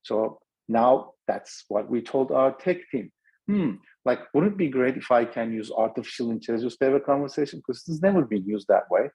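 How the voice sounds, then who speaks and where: A man's voice: mid-range voice, animated, moderate pace, warm tone, slightly rough, low-quality recording. A middle-aged man with a Turkish accent giving a webinar talk, thinking aloud with many restarts. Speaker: startup founder presenting.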